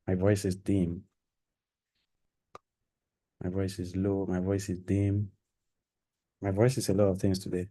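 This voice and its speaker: A man's voice: sits low, monotone, unhurried, long pauses, mellow. A man giving a live-streamed orientation talk to trainees, rambling and repeating himself with many fillers. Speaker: training program organizer.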